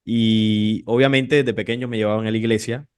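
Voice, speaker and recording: Man from colombia, interview guest, polite, thoughtful, lowish voice, dry recording. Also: steady tone, moderate pace, smooth, dry recording. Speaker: guest, channel creator. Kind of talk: casual interview chat.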